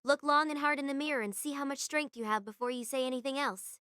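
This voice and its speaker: An actress playing a hot-tempered, scornful young military student in an audio drama, confrontational and accusatory. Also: female voice, sits high, fast, fairly bright tone, dry recording, clean studio sound. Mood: contemptuous. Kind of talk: anime-style audio drama acting.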